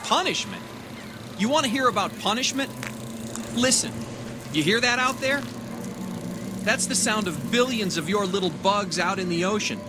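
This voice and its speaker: A man's voice: high voice, animated, normal speed, long pauses, noisy background. An actor in an audio drama playing a wry, cynical man who scolds and needles. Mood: grim, accusing. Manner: sardonic, needling. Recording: music under the voice.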